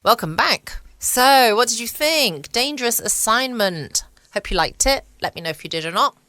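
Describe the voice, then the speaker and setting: A woman's voice: a little high, expressive, moderate pace, bright, forceful tone, dry recording. A woman from the United Kingdom hosting a chatty late-night podcast, speaking straight to her listeners. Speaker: podcast host. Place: at home, dry close recording.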